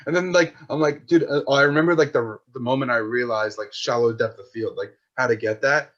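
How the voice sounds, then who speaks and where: A man's voice: medium pitch, fairly quick, fairly bright tone. Dull-sounding young man, rambling and slangy. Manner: rambling, slangy, full of "like" and "dude". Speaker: podcast guest, filmmaker. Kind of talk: casual chat.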